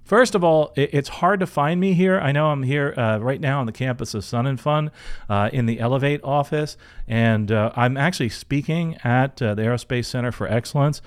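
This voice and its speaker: A man hosts a casual advice podcast. His voice sits at an average pitch for a man. He talks in an informal, conversational way, like a coach answering questions from his listeners. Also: moderate pace, smooth. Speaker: podcast host and career coach.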